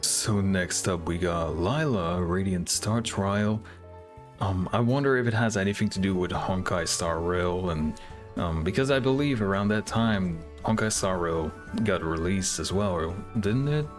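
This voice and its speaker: A man's voice: deep voice, unhurried. A man hosting a reaction video, commenting casually and hesitantly as he thinks aloud. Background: music.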